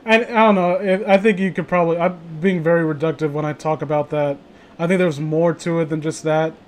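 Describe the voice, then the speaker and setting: A man's voice: a little high, fairly even intonation, brisk, a little hiss or hum. A man chatting casually as a host, rambling, blunt and opinionated, with frequent swearing. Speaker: host of a casual group chat.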